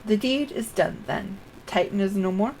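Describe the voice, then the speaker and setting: A woman's voice: mid-range voice, normal speed, darkish tone, slight background noise, lightly acted, poor audio. A woman reading aloud the lines of an earnest, formal young male scholar from a video game, in a plain voice close to her own. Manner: reads dialogue aloud in a plain voice.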